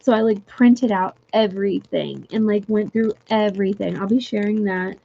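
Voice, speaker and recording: A young woman chatting casually with viewers on a livestream from home, giggly and full of fillers, her voice going a little hoarse. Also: medium pitch, normal speed, dark tone, muffled recording. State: losing her voice. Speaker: livestream host. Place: home room.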